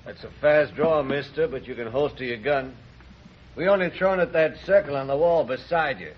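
An actor playing a cocky, folksy outlaw with an American Western drawl in a 1950s radio western. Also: male voice, mid-range voice, moderate pace, natural voice. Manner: folksy, swaggering. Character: cocky, folksy Old West outlaw brawler. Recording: dull, noisy 1950s radio recording.

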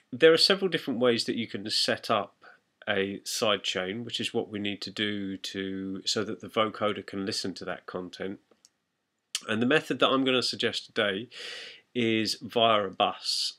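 A man talking as a music production tutor, giving an instructional, step-by-step walkthrough of software. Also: lowish voice.

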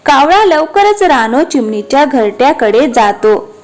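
Female narrator for children's animated story, high-pitched, scripted delivery, soft music bed. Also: unhurried.

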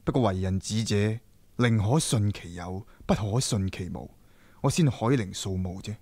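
A man's voice: fairly low pitch, normal speed, darkish tone, slightly rough, no room sound. A man playing a proud, wary Qing emperor in a Cantonese radio drama, imperious and defensive. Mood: guarded.

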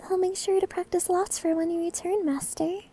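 Woman acting a very high-pitched, sweet young maid. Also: fairly even intonation, fairly quick, mellow, soft background music. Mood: sweet, pleading. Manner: cutesy, eager, teasing. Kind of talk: ASMR roleplay acting.

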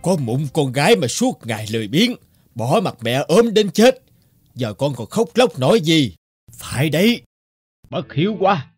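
A man's voice: on the high side, very animated, moderate pace, husky, soft background music. A man playing a harsh, contemptuous village man who jeers and scolds in a cartoon folktale. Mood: scornful. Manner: jeering, scolding.

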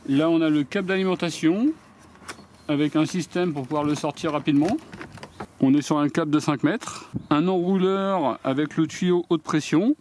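DIY host, man, slightly high voice, plain practical step-by-step delivery, recorded outdoors on camera mic. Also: moderate pace, darkish tone. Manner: plain step-by-step explaining, addresses viewers directly. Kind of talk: hands-on product demonstration. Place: outdoors on a garden deck.

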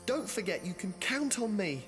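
A man's voice: sits high, big pitch swings, normal speed, music in the background. An actor playing an eager, caring teenage boy in a dramatic video game. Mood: reassuring.

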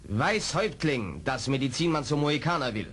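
An actor playing a brutish Iroquois guard in a radio play, speaking in broken, clipped phrases. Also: male voice, medium pitch, lively intonation, a little fast, fairly bright tone. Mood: challenging.

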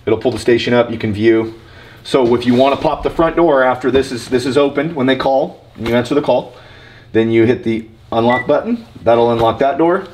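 A man's voice: mid-range voice, darkish tone. A man in his thirties giving an informal, matter-of-fact, step-by-step walkthrough as an installing contractor. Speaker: installing contractor demonstrating equipment. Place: building entrance, lobby and front office. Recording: noisy on-site recording with clicks.

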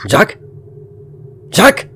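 A man's voice: drawn-out words, bright, forceful tone, slight background noise. A man playing a desperate, weary space station officer in an audio drama, speaking in urgent, dramatic, clipped phrases.

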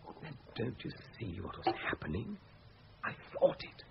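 A man's voice: normal speed, background noise, lightly acted. A man acting in a radio drama as a defensive, sceptical writer with a hesitant, prickly manner. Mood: defensive, irritated. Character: defensive, sceptical male writer researching the supernatural. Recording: dull, muffled radio drama recording.

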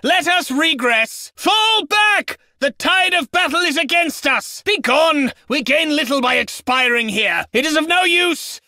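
A haughty video game nobleman who looks down on peasants. His voice is extremely high and sharply bright, recorded very dry. He enunciates pompously, with theatrical condescension. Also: lively intonation, unhurried, few pauses, dry recording, full character voice. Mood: alarmed, shouting. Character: snobby, haughty medieval nobleman, contemptuous of peasants.

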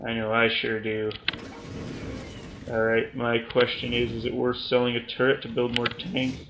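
Joking young man yelling at a game. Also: medium pitch, background noise. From Canada. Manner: joking, self-mocking, bursts of yelling at the game. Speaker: gaming YouTuber. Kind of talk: gameplay commentary. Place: small room at home.

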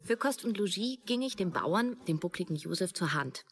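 An actress playing a woman writer in her thirties who narrates a radio drama in a measured, literary way. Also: on the low side, expressive, a little hiss or hum, natural voice. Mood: reflective. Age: 30s. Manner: measured, storytelling.

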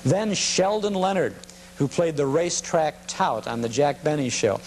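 An American man informally presenting vintage clips in a halting, reminiscing way. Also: a little high. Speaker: presenter of vintage broadcasting programme. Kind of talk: informal spoken introduction to film clips. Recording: steady hum and noise.

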